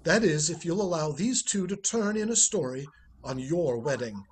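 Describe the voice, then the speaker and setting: A man's voice: fairly high pitch, lively intonation, normal speed, slight background noise, lightly acted. A man playing a suave, roguish ex-husband in radio comedy style, breezy, wry and needling, on a home recording. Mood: sly, needling. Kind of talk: 1940s radio comedy acting.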